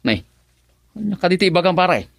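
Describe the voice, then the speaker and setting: A grinning, teasing crook in a radio drama. His voice swings widely in pitch and he keeps breaking into laughs. The studio sound is dry and close. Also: sing-song, a little fast, dry recording, full character voice. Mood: sly. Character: sly grown man, cheerful drug-runner friend.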